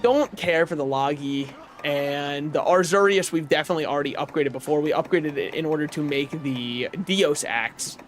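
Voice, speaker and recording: A young man streaming a game from his apartment, chatting casually in a rambling, self-mocking way with a booming voice. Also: normal speed. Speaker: vtuber streamer. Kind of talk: casual live-stream chat while gaming. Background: faint game music.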